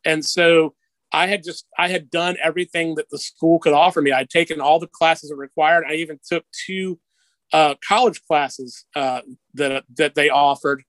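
Male interview guest, middle-aged, slightly high-pitched, dry sound; conversational, candid storyteller. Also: fairly even intonation, normal speed, a bit bright, no echo, rough recording.

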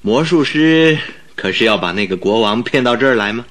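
An actor playing a detective's good-humoured, inquisitive companion in a Mandarin radio drama, chatty and bantering. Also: male voice, mid-range voice, lively intonation, fairly quick, a bit bright, close to an everyday voice. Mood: curious, teasing.

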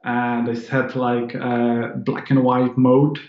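A man's voice: medium pitch, completely flat delivery, slow pace, echoey room, lo-fi sound. A man in his thirties from Poland, speaking English with a Polish accent, chatting as an interview guest in a rambling way with many restarts. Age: 30s. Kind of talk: casual interview chat.

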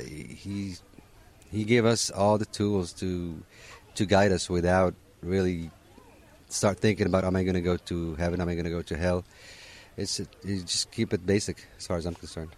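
Deep-voiced easygoing man from Morocco. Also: fairly even intonation, normal speed, a little mellow. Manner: easygoing, sprinkles in "you know" and restarts. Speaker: interviewee at a holiday gathering. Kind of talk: casual conversational answers.

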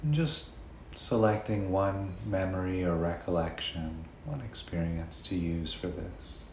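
A man leading a guided meditation in a gentle, unhurried way, leaving long pauses between phrases. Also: sits low. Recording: roomy echo, faint background noise.

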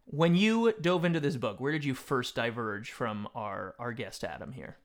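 A man hosting a casual conversation in an animated, emphatic way, sometimes reading aloud. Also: mid-range voice, normal speed. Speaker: podcast host. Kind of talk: casual chat, some reading aloud from a book.

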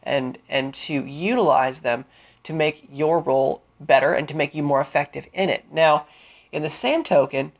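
A man hosting a solo career-advice podcast, smooth-voiced and deliberate, with a cold and a sniffle, explaining with frequent fillers. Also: on the high side, muffled recording. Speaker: podcast host.

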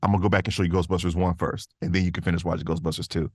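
A man with a low voice talks casually as a podcast guest. He rambles with enthusiasm and drops in plenty of conversational tags, in a dry, close recording. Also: little pitch movement, very fast, darkish tone, husky, no echo. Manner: rambling, enthusiastic, peppers talk with "man" and "you know".

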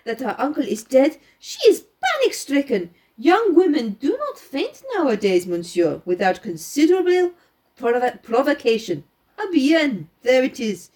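A woman voicing a calm, knowing male detective with a French accent, speaking in a deliberate, formal storybook manner. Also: high-pitched, pitched above the performer's own voice. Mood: dry, explanatory.

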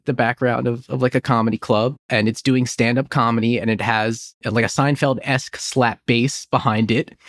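Male podcast host, thirties, medium pitch, enthusiastic nostalgic chat, dry close mic. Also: no room sound. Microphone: close studio microphone in home office.

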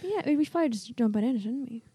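A woman's voice: on the high side, animated, rapid-fire, mellow, husky, no room sound. A young woman in casual podcast chat, informal and a little hesitant. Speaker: podcast co-host.

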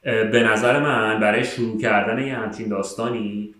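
Low-voiced Iranian man chatting, roomy sound. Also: fairly even intonation, a bit bright. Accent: Iranian Persian with English loanwords. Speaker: podcast co-host. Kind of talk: casual chat, giving opinions.

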